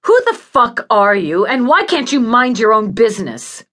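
A woman's voice: medium pitch, big pitch swings, measured pace, fairly bright tone, voice pushed up. A woman voicing an agitated, foul-mouthed female patient in an audiobook, bitter, demanding and in pain. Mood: bitter sarcasm. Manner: pleading, snarling, accusatory. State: in pain, desperate. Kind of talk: audiobook character acting.

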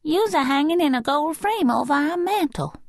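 A small, high-pitched girl's voice, lisping through baby-talk with cool confidence. She is a fiery little storybook child, heard in a dry, close recording. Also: lively intonation, normal speed, creaky, no room sound. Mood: earnest, insistent. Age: young child. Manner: baby-talk grammar, coolly self-possessed. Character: bold little girl, soft-spoken but fiery-tempered.

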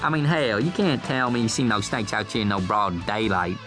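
A man's voice: fairly low pitch, brisk, music in the background, close to an everyday voice. A man voicing a rowdy young country boy with a drawl, talking in a drawling, incredulous way. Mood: scoffing.

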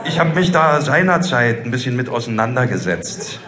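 A man's voice: mid-range voice, lively intonation, normal speed, heavy background noise, natural voice. A man playing a know-it-all student hacker in his early twenties, hesitant at first, then explaining emphatically. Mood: earnest, explaining. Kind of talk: audio drama dialogue.

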